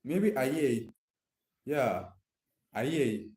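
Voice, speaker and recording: A man's voice: medium pitch, expressive, drawn-out words, darkish tone. A man from Nigeria with a Nigerian accent chats casually and informally, addressing live viewers directly in slangy speech. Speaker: live streamer. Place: echoey room indoors. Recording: echoey room.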